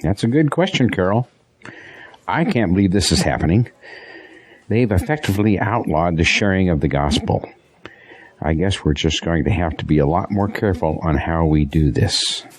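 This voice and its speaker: An actor playing a devout, steadfast pastor in an audio drama, speaking in an earnest, resolute way. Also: male voice, low voice, expressive, moderate pace. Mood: steady, concerned. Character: devout, steadfast male pastor facing persecution, married.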